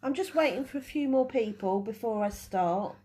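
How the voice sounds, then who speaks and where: Woman with a head cold, nail tutor, chatty live demo, slightly high voice. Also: lively intonation, moderate pace, dark tone, husky. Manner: chatty, restarts while working. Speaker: nail technician teaching a live class.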